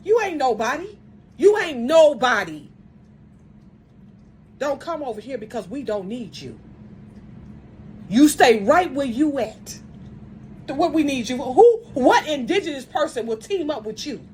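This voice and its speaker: A woman's voice: high voice, very animated, measured pace, pauses often, a little mellow, slight background noise. A woman speaking straight to the camera in an angry rant, blunt and confrontational, often stumbling and restarting mid-sentence. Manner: says she has a strong voice. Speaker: video commentator.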